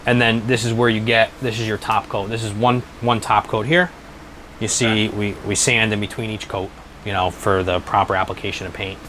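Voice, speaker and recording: A man in his thirties giving a casual workshop explanation as a business owner, haltingly and repeating his phrases. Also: fairly low pitch, little pitch movement, fairly bright tone, slight background noise, poor audio. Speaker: helmet restoration business owner. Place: small workshop, indoors.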